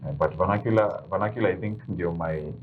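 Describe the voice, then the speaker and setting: A man's voice: quick pace, phone-quality sound, a little hiss or hum. A man with a Kenyan accent, a voice-over artist who speaks Kikuyu natively, chatting casually as a TV studio guest. He laughs often and moves between English and Swahili. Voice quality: deep, manly voice.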